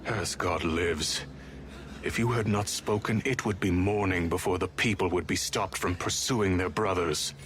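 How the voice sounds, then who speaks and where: Grim military commander, deep register, deliberate delivery, slight ambient noise. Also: male voice, fairly bright tone. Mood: stern. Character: stern battle commander, grimly conceding to a foe. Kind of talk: dramatized scripture audio drama.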